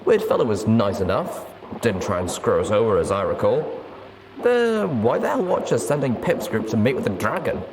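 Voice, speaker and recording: A man puts on a gruff wasteland radio host for a story show. The patter is casual and chatty, with big swings in pitch. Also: very animated, moderate pace, noisy background. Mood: amused, casual.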